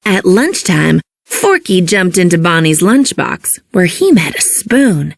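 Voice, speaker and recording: A woman reading a children's storybook as an expressive narrator. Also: lowish voice, slow, creaky, no room sound, clean studio sound.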